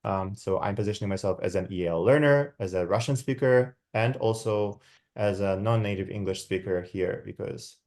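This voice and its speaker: A man's voice: fairly low pitch, vocal fry, darkish tone. A young man from Kazakhstan, giving a reflective academic guest talk and often checking in with his listeners. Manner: checks in with frequent tag questions; measured, reflective, with many fillers. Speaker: guest lecturer. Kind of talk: academic talk walking through slides.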